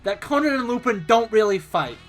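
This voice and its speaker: A woman co-hosting a casual film review podcast, talking in halting bursts with frequent restarts. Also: on the high side, animated, faint music behind. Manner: halting, restarts, laughs often. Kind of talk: casual chat.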